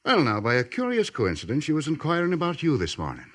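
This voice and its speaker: An actor playing a wry, teasing army doctor with a folksy manner in a 1950s radio drama. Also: male voice, a little high, huge pitch swings, quick pace, fairly bright tone, exaggerated voice.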